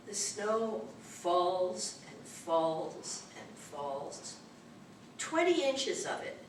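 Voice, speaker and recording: A woman reading a poem aloud in a measured, deliberate way at an open mic in a library room. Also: on the low side. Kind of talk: poetry reading. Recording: distant mic, echoey room, faint noise.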